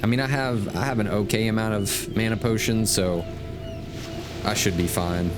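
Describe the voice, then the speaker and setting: Male game streamer, deeper voice, casual commentary, laid-back, prominent music behind. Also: fairly even intonation, moderate pace. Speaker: game livestream host. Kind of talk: casual gameplay commentary, reading quest text aloud.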